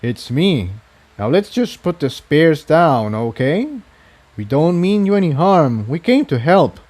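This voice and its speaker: A man's voice: a little high, sing-song, measured pace, a little mellow, voice pushed up. A man voicing an earnest, reasonable male unicorn hero in a fantasy story reading. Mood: calming.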